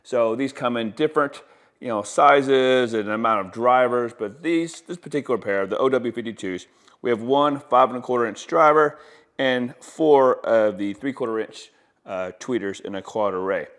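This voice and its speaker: A man's voice: mid-range voice, moderate pace. A man with a mid-range voice walks viewers through a product in a casual demonstration. He talks like an installer who is keen on his gear, with enthusiastic, folksy patter and colloquial turns of phrase. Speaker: audio installer presenting products.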